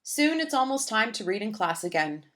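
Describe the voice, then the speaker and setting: A woman voicing an earnest, shy young schoolgirl who narrates her own storybook tale with deliberate, childlike phrasing. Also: mid-range voice, big pitch swings, fairly bright tone.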